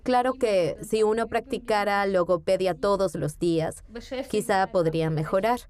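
A candid, determined woman doctor who has settled abroad , voiced by a woman. She speaks matter-of-factly at a middling pitch, in the manner of a documentary voice-over. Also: moderate pace, a little mellow, lightly acted. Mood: matter-of-fact, resigned.

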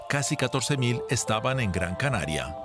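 A man reading a scripted news report as voice-over, in a measured, even manner. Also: mid-range voice. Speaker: news narrator. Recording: voice-over over loud music.

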